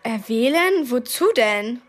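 Radio play lead: plucky girl of twelve, higher voice, dry and sarcastic. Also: animated, slow. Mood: dry, puzzled. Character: plucky, sardonic twelve-year-old girl heroine. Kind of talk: radio drama acting and first-person narration.

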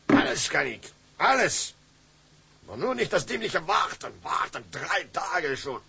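An actor playing a domineering, hard-drinking tugboat captain with a German accent, gruff and cursing as he barks orders. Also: on the high side, big pitch swings, measured pace, pushed and bright, a little hiss or hum, exaggerated voice. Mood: impatient, gruff. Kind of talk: film drama acting.

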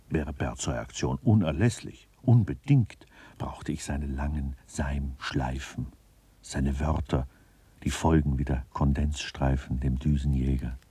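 An actor playing a grumbling, brooding director who mutters a sardonic, literary interior monologue in a radio play. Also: male voice, rumbling low, mellow, breathy edge, dry recording, full character voice.

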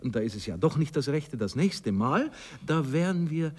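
Casting: a self-important, evasive husband, raspy, very animated pitch, vintage radio play. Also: male voice, moderate pace, in a large hall. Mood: earnest, hesitant. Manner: hesitant, moralising, blustering. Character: pompous, evasive married bourgeois man wooing a girl. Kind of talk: 1960s German radio drama acting.

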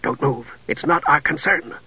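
A man voicing a proud, impatient knight with a curt, gruff manner in a fantasy audiobook. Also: medium pitch, lively intonation, normal speed, fairly bright tone, no room sound, dull audio. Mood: gruff warning.

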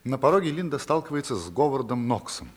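Expressive male radio-play narrator. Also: mid-range voice, quick pace. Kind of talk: scripted dramatic narration.

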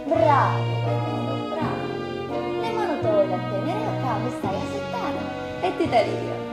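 An actress playing an abused Sicilian widow, sly, bitter and defiant, with emphatic theatrical delivery in dialect. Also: female voice, high voice, very animated, a little slow. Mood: coaxing, bitter. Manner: emphatic, swinging from coaxing to outbursts. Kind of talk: theatrical stage acting in dialect. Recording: roomy stage sound under loud music.

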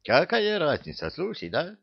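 A wheedling, rambling huckster spirit from a comic fantasy story, with a thick Georgian accent. His broken grammar rides on a very lively, swinging pitch. The recording is a bit dull and lo-fi. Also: slow pace, creaky, heavily acted. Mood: indignant. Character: hook-nosed Caucasian-style huckster spirit in Native costume, whining. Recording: dull lo-fi audio.

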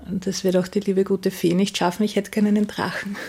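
A middle-aged woman with an Austrian accent giving a casual interview answer, halting as she thinks aloud. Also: mid-range voice, flat delivery, darkish tone, breathy edge.

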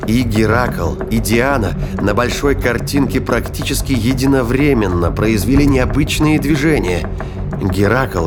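Lowish-voiced male narrator over music. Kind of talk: scripted dramatic narration of science fiction thriller. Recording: music behind the voice.

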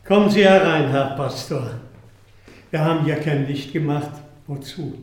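An actor playing a glum, resigned manservant with a terse, matter-of-fact way of talking in a radio play. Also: male voice, a little high, a little slow, lightly acted. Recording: very echoey interior, faint noise.

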